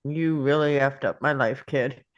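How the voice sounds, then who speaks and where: A woman reading the part of a sardonic old uncle in a deadpan, grumbling manner at a script table read. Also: a bass voice, a little slow, dull audio. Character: gruff, sardonic old uncle, grudgingly fond of his nephew.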